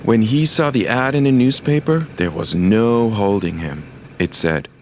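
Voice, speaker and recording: A man narrating a scripted children's story as an expressive storyteller. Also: fairly low pitch, unhurried, a little hiss or hum.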